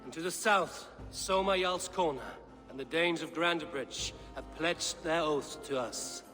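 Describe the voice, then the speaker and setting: Proud Viking raider, long hall reverb. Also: male voice, a little high, unhurried, sharp tone, soft background music. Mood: proud, rousing. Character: proud, steady male Viking raider and clan leader. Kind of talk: video game voice acting.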